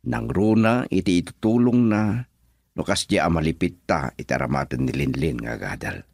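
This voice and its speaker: A man's voice: low-pitched, normal speed, gravelly, a little mellow, dry recording, studio quality. A man acting a hopeful, persuasive middle-aged father in a radio drama, with a Filipino accent. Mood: persuading.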